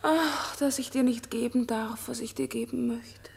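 An actress playing an innocent, lovestruck young maiden in a German radio drama, soft and earnest with tender emotion. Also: female voice, on the high side, steady tone, measured pace, creaky, breathy edge, heavily acted. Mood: overcome with love.

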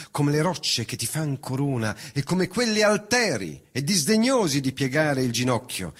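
A man's voice: fairly high pitch, husky. A man giving a solemn, declamatory dramatic recitation of poetry. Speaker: reciting performer. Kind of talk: dramatic recitation of autobiographical verse.

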